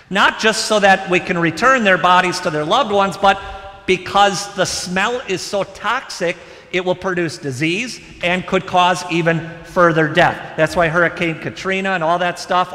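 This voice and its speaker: A middle-aged man preaching a sermon from the pulpit, animated and conversational. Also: sits high. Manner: animated preaching with wide gestures, moving about behind the pulpit. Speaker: pastor. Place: large church sanctuary. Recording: long church reverb.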